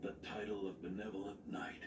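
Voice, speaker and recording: A man's voice: lowish voice, flat delivery, a little fast, close to an everyday voice. A man voicing a kindly, protective old grandfatherly knight in a video game drama. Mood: weak, tender. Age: older. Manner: reassuring, gently admonishing. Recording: roomy, distant, noisy game capture.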